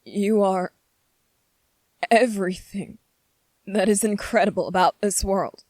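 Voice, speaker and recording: A woman playing a gentle, anxious young prince in an ASMR roleplay, speaking in a hesitant, earnest and pleading manner. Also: mid-range voice, fairly even intonation, a little slow, dry recording, close to an everyday voice. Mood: tender, devoted. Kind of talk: ASMR roleplay, scripted character acting.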